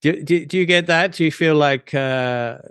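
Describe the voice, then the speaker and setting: A man's voice: a little high, normal speed, fairly bright tone, smooth, dry recording. A man hosting a casual podcast conversation, earnest and hesitant with restarts. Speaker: podcast host.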